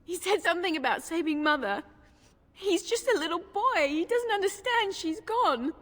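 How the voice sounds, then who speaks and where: A woman's voice: very high-pitched, lively intonation, normal speed. A woman playing a frightened, tearful young village girl in a game, timid and pleading as she stammers. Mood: distraught, worried. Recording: long reverberant hall echo.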